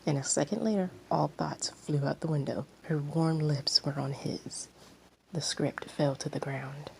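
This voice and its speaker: A woman reading fan fiction aloud as expressive narration, with faint background noise. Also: deep voice, measured pace, gravelly, poor audio.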